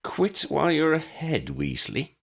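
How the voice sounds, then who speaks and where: Man as a brisk, exacting witch, pitch raised. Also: wildly swooping pitch, creaky, breathy edge, full character voice. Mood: exasperated. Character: brisk, exacting adult witch, bossy yet affectionate. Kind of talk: audiobook character reading.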